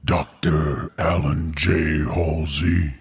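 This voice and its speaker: An actor playing a menacing undead military ringleader in a horror audio drama, speaking haltingly with a gravelly voice. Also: male voice, very deep voice, little pitch movement, exaggerated voice. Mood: slow menace. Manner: slow, halting, deliberate.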